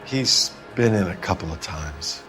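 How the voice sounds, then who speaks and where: Gruff middle-aged 1940s bar owner. Low-pitched, world-weary, blunt, slangy, crime game. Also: male voice, animated, measured pace, pushed and bright, faint music behind, heavily acted. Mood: wistful, guarded. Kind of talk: video game voice acting.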